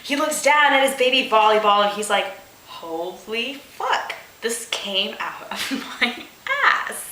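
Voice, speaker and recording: A woman hosts a comedic read-aloud in a slightly high, very bright voice. She takes her time, sounding amused and exasperated as she drops in asides. The room around her is noticeably echoey. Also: takes it slowly. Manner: amused, breaks into giggles while reading, exasperated asides. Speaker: host of a fanfiction reading show. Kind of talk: comedic dramatic reading with asides. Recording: roomy, echoing room sound.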